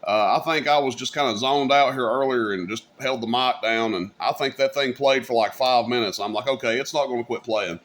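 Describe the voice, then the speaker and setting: A man's voice: mid-range voice, fairly quick, hardly any pauses, a bit bright. A man giving a casual, folksy, rambling hands-on demonstration as a hobbyist video host. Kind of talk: casual hands-on product demo. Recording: clear background noise.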